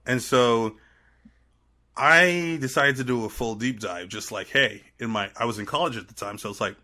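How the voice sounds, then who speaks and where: Animated Trinidadian man, bright voice. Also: medium pitch, moderate pace. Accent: Trinidadian with an American tinge. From Trinidad and Tobago. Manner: animated, rambling storyteller. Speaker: podcast guest. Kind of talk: casual chat.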